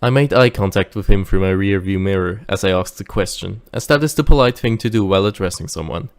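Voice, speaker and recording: A man playing a dutiful, wary driver who recounts his tale in measured audio drama narration. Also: sits low, clear, resonant tone. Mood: wary, reflective.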